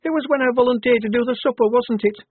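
A man's voice: very high-pitched, fast talker, husky, no echo, voice pushed up. A man voicing a timid yet defiant young woman in an audiobook, flustered and indignant. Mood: flustered, embarrassed. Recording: narrow phone-like sound.

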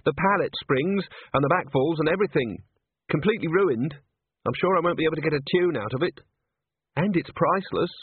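A man's voice: fairly high pitch, a little fast, husky, dry recording, phone-quality sound, pitched above the performer's own voice. A man voicing a dejected young male musician, mournfully complaining, in an audiobook.

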